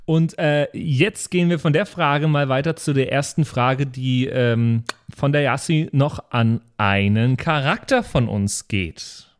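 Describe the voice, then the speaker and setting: A man's voice: mid-range voice, slow pace, smooth. A man hosting a podcast in casual, loose chat, introducing listener questions. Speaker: podcast host.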